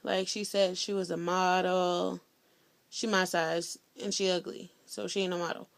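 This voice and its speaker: A teenage girl from Indiana telling a story in a blunt, sassy, chatty way, loud-voiced and full of slang. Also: fairly low pitch, little pitch movement, unhurried, gravelly, no room sound. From Indiana, USA. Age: teens. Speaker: vlogger. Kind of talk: casual storytelling vlog.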